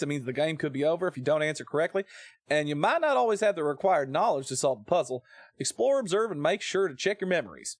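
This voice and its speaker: Male streamer, American, fairly high voice, playful folksy drawl in asides, casual gameplay chat, dry close sound. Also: no room sound. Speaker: game streamer. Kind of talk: casual joking live gameplay commentary, reading game text aloud.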